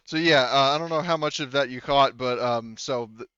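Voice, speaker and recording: A man's voice: mid-range voice, normal speed, bright, forceful tone, clean tone, no room sound, dull audio. A man casually running a tabletop roleplaying game as game master, chatting informally and swearing freely. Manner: informal, profane.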